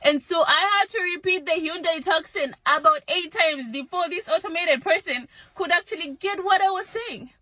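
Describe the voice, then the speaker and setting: A woman with a Zambian accent telling a funny story to camera in a casual, animated way. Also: extremely high pitch, normal speed, hardly any pauses, dry recording. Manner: animated, humorous, acts out her own voice. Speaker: vlogger.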